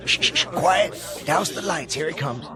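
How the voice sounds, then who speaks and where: An actor playing a teasing, excitable small-town buddy with a rural American accent in a radio drama. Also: male voice, a little high, normal speed, music in the background, lightly acted. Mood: hushed excitement.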